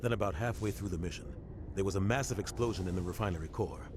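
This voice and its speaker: An actor playing a bitter veteran soldier captain, speaking in a measured, grim tone. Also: male voice, low-pitched, natural voice. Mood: tense. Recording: game cutscene with ambient noise.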